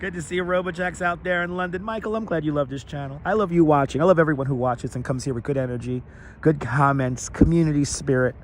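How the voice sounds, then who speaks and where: A man with a raspy, slightly squeaky, hoarse voice, chatting casually and repeating himself as he hosts a walking livestream. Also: steady tone, fast. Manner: rambling, repeats phrases, greets viewers by turns. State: hoarse from overuse. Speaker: livestream host. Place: outdoors in a city park, walking. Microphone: wireless clip-on lavalier mic. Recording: clear outdoor ambient and traffic noise.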